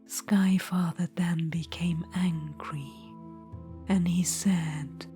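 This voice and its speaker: A woman voicing a possessive, menacing undead witch-queen in an ASMR roleplay, whispering softly and slowly throughout. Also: fairly low pitch, steady tone, faint music behind. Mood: hushed storytelling. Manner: soft whisper throughout, slow and intimate.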